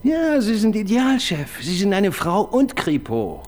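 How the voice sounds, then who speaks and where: An actor playing an eager, pedantic police assistant in a radio crime drama, prone to hesitant restarts. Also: male voice, sits high, wildly swooping pitch, measured pace, a little mellow, exaggerated voice.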